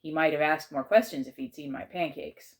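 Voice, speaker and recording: A woman reading a children's chapter book aloud in measured, expressive narration. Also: sits low.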